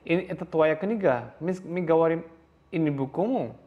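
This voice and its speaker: A man's voice: on the high side, animated, normal speed, phone-quality sound. Hesitant man with an Indonesian accent. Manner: hesitant, many fillers and restarts, repeats phrases. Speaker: language teacher. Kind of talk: slide-based language lesson. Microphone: voice-over on slides.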